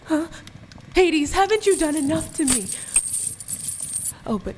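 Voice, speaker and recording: Radio drama actress for captive young woman: high voice, emotional, defiant yet wounded, light background noise. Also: big pitch swings, measured pace, darkish tone. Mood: furious, accusing. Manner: emotional, accusing, pleading. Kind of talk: radio play acting.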